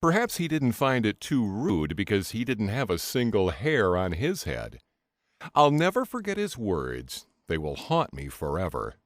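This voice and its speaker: A man's voice: fairly low pitch, measured pace, dry recording, studio quality. A man reading a comic novel as an audiobook in a theatrical, first-person dramatic style. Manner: theatrical, expressive delivery. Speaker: audiobook narrator.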